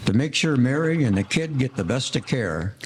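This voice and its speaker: An actor plays a male gangster with a soft heart in an old-time audio drama. His pitch is average and his slangy talk is earnest and oddly formal. A light hiss runs underneath. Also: expressive, moderate pace, lightly acted, low-quality recording. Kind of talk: 1940s gangster audio play acting.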